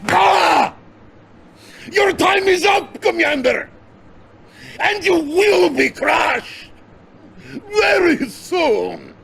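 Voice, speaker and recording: An actor playing a gloating, pompous Soviet villain leader with a Russian accent in a game cutscene. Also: male voice, very high-pitched, very animated, very slow, vocal fry, pauses often, a bit bright, shouting, slight background noise, exaggerated voice. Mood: enraged. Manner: pompous, menacing. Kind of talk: theatrical video game cutscene acting.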